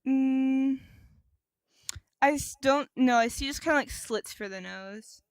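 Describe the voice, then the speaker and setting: A woman co-hosting a podcast in casual chat, describing things haltingly and saying "like" a lot. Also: high voice, dry recording, studio quality. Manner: halting, improvised descriptions with frequent "like". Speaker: podcast co-host.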